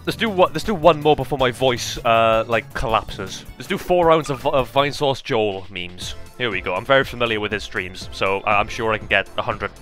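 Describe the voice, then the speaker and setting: A young man with a Welsh accent, commenting casually on a game with self-mocking asides, swearing and stammered restarts, his throat strained from shouting. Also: medium pitch, normal speed, few pauses, soft background music. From Wales. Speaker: gaming video host.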